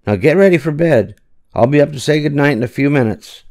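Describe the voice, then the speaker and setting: Man voicing a firm, busy mom, pitched up. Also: animated, a little fast, a little mellow. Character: busy, firm but kindly aardvark mother.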